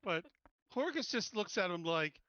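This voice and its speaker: A man's voice: high-pitched, very animated, normal speed, a bit bright, no room sound, lo-fi sound. A man chatting casually as a player in a tabletop roleplaying game.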